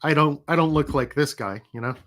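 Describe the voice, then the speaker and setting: Man, rhode island accent, middle-aged, easygoing livestream host, relaxed chatter. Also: a little high, brisk. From New England. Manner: chatty, reads out viewer comments. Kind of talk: casual live chat.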